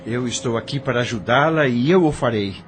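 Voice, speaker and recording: A man's voice: medium pitch, a little fast, noisy background, lightly acted. A man playing an earnest, resolute widowed doctor in an audio drama. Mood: urgent, reassuring.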